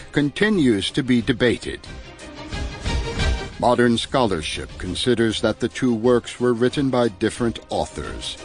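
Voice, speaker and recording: A man reading a scripted literary introduction as an audiobook narrator. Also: mid-range voice.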